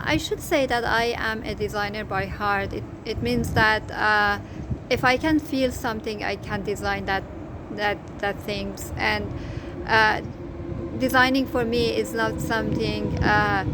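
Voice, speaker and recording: A middle-aged woman with a Persian accent, whose first language is Farsi, telling her story as a crochet designer in a casual, hesitant way, with many fillers and restarts. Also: mid-range voice. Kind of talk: casual personal story to camera. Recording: noticeable background noise.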